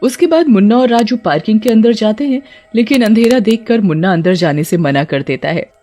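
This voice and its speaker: A woman's voice: mid-range voice, expressive, fairly quick, dark tone. A woman narrating a scripted cartoon horror story.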